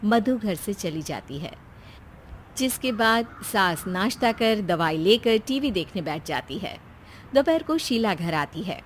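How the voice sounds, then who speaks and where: A woman's voice: medium pitch, sing-song, measured pace, a little hiss or hum. A woman narrator reading a scripted children's story.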